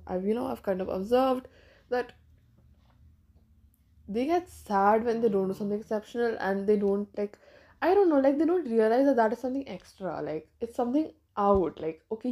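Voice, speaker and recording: A woman's voice: on the high side, dark tone. A nineteen-year-old woman from India hosting her own podcast, thinking aloud in a rambling, casual way with lots of fillers and restarts. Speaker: podcast host. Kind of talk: casual solo podcast monologue.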